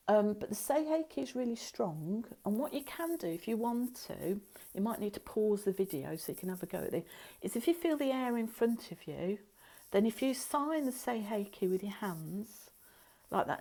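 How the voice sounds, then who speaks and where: Middle-aged lady teaching a course, warm mellow voice, rambly and chatty. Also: medium pitch. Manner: chatty, rambling, many fillers and asides. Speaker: Reiki teacher. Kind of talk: recorded course lesson.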